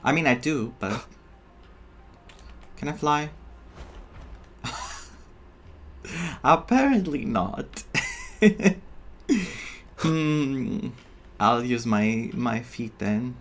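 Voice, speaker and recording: A man's voice: mid-range voice, expressive, extremely slow, long pauses, a bit bright. A man giving casual, playful playthrough commentary as a gaming streamer, reacting aloud.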